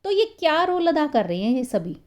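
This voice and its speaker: A woman's voice: high-pitched, sing-song, fairly quick. Chatty woman teaching a lecture. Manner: conversational, repeats herself, many fillers and checking questions. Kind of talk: explaining literary theory in a recorded lecture.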